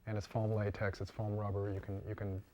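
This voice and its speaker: A man's voice: on the low side, monotone, fairly quick, dark tone, a little hiss or hum. A man being interviewed as a costume and prop maker, explaining informally and often repeating and rephrasing himself.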